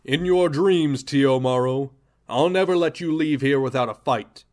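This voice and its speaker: A brave, defiant superhero with a power ring, played by a man. His lines come out loud and earnest, full of heroic resolve, at a middle pitch. Audio drama. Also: measured pace, close to an everyday voice.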